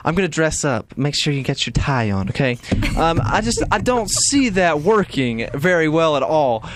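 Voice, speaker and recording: A man's voice: on the high side, big pitch swings, normal speed, noisy background. A young man hosting a casual morning radio chat on a studio microphone. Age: young adult. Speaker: radio show host.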